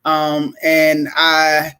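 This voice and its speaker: A woman's voice: deep voice, completely flat delivery, drawn-out words, pushed and bright, clear, resonant tone. A woman, guesting on a podcast over a video call and telling her story bluntly and plainly. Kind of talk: casual storytelling.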